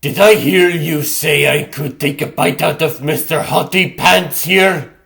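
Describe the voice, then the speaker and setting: A man's voice: on the high side, measured pace, vocal fry, fairly bright tone, heavily acted. A man voicing a brash, hungry vampire thug in a comic dub, with taunting, slangy talk. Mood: loud taunting. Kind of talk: comic dub character acting.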